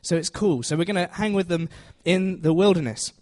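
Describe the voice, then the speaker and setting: A man's voice: high-pitched, fairly quick, a little mellow. A man giving an informal, conversational teaching sermon, self-deprecating and often checking in with his listeners. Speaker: preacher giving a Bible talk to a congregation.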